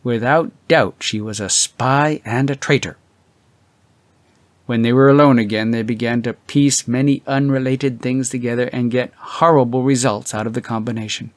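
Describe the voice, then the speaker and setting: A man reading literary fiction as an audiobook narrator, in a measured, dramatic storytelling manner with drawn-out emphasis. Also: medium pitch, fairly even intonation, no room sound.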